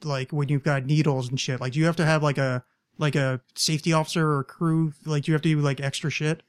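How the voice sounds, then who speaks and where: A man's voice: on the high side, little pitch movement, quick pace, clear, resonant tone, no room sound. A man hosting a podcast in a small studio, chatting casually in a rambling, self-deprecating way. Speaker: podcast host. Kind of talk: casual chat.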